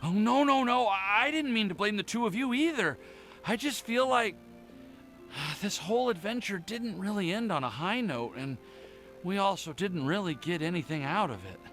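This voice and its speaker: A high, bright young male game character, anxious and lovesick. He stammers and hesitates, his pitch jumping about, with soft music behind him. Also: animated, moderate pace. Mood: anxious, apologetic. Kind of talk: anime-style game voice acting.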